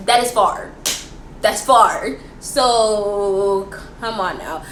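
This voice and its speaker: A woman's voice: mid-range voice, extremely slow, fairly bright tone. A young American woman with a playful, theatrical, slangy manner, chattily presenting history as a student host and throwing out rhetorical questions. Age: young adult. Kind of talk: chatty, informal history presentation for a school project. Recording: echoey room with faint background noise.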